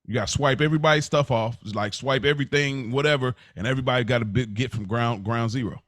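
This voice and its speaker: Us guy on a podcast, mid voice, slangy and halting, reasoning things out, dry close recording. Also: mid-range voice, a bit bright, no echo. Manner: slangy, halting, thinking aloud. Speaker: podcast host. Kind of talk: casual reflective chat.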